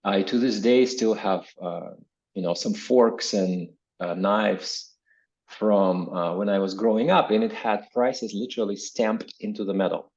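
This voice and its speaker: Low-voiced man from Kazakhstan, reflective. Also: a little slow, a little mellow, dull audio. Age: 40s. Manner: reflective, explanatory, often tags phrases with "right?". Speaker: interviewed academic guest. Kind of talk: conversational interview answers with personal recollection.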